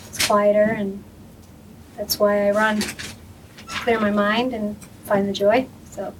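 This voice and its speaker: A woman calmly telling how she came to love running in the woods. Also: medium pitch, slow, recorded from a distance, background noise. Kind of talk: casual personal storytelling.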